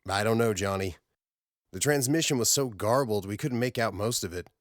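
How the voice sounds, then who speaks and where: A man's voice: on the low side, moderate pace, dry recording, clean studio sound, voice pushed up, lightly acted. Sci-fi audiobook role: frustrated, anxious male colleague acted by a man, earnest, pressing, a little formal. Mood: troubled. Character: worried, frustrated male space-station colleague delivering bad news.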